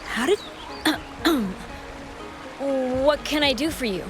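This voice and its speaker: A woman's voice: high-pitched, animated, very slow, exaggerated voice. A woman voicing a curious, polite traveller in a video game. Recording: music behind the voice.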